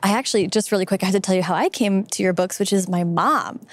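A woman hosting a podcast, reading her intro and then chatting with a guest in an upbeat, quick way. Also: mid-range voice, dry recording. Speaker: podcast host. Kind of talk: scripted intro then casual interview chat.

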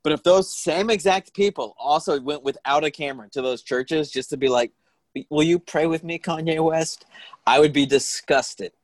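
A man's voice: on the high side, moderate pace, dry recording. A man in his late thirties co-hosting a casual chat, joking and sardonic, filling his talk with "like". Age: 30s.

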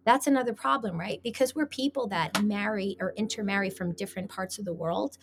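A woman's voice: mid-range voice, brisk, creaky. A woman marriage coach talking on a podcast, telling stories and acting out what spouses say. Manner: animated storytelling, acting out quoted spouses. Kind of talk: podcast conversation.

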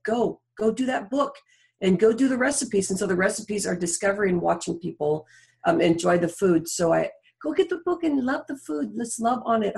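A woman's voice: mid-range voice, gravelly, darkish tone. A woman talking casually as a podcast guest, effusive and encouraging in manner. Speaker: podcast guest, coach and author. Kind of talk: casual interview talk.

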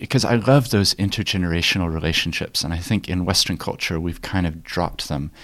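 A man's voice: deep voice, fairly bright tone. A man who grew up in New Zealand, hosting a casual conversation and often chiming in with short agreements. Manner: engaged, quick to chime in with short agreements. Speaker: podcast host.

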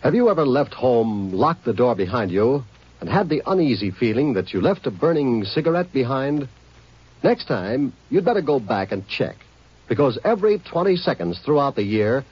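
A man's voice: mid-range voice, husky. A man reading a 1950s radio public service announcement in an earnest, cautionary, emphatic way. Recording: muffled old radio recording.

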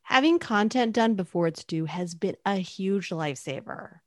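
A woman's voice: medium pitch, lively intonation, moderate pace, dry recording, clean studio sound. Earnest woman podcast co-host. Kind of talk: scripted podcast chat.

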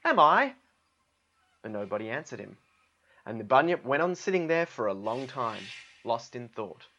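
A man's voice: medium pitch, expressive, moderate pace, fairly bright tone, dull audio. An Australian man in his thirties reading a children's story aloud with casual asides. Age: 30s. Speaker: guest story reader, actor.

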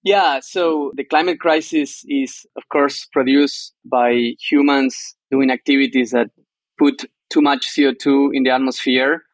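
A man's voice: mid-range voice, steady tone, measured pace, dry recording. A man from Argentina with an Argentine Spanish accent, explaining things as an interview guest. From northern Argentina. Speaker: startup co-founder, interview guest.

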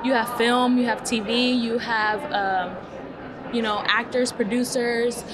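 A woman's voice: a little high, level tone, a little slow, crowd noise. A young woman student from Texas, chatting in an upbeat way with fillers during a street interview. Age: young adult. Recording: background noise.

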